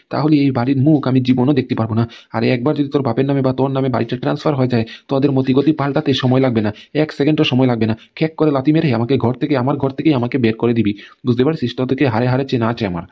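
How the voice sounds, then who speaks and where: A man's voice: mid-range voice, steady tone, rapid-fire, mellow, natural voice. A man playing a stubborn, grumbling family man with a blunt, repetitive way of talking in a comedy cartoon. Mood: stubborn, defiant. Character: stubborn, grumbling male head of a squabbling family. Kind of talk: Bengali comedy cartoon dialogue.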